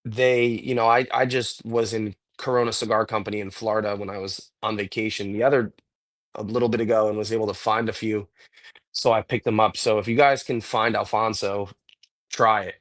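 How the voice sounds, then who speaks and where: Guy with a cold, bit hoarse and stuffy, rambling on happily about cigars. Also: on the low side, level tone, fairly quick, fairly bright tone. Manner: rambling, enthusiastic cigar talk with many fillers. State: getting over a cold, a bit hoarse and congested. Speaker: podcast co-host. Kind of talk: casual chat.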